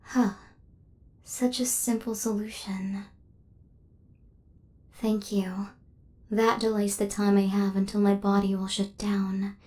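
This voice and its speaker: A soft, breathy female killer robot, acted by a woman in an ASMR roleplay. The tone is dark and mellow, with very little rise and fall. The phrasing is formal and measured like a machine, yet tenderly possessive and devoted. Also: mid-range voice, flat delivery, natural voice. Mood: calm, analytical. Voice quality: soft, breathy half-whisper. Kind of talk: intimate ASMR roleplay acting.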